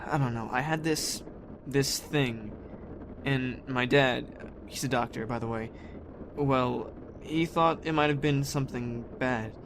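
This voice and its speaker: A young man playing a blunt, troubled teenage boy in an audio drama, casual and halting. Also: medium pitch, close to an everyday voice. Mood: uneasy. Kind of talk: fan audio drama acting. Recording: noisy ambience behind the voice.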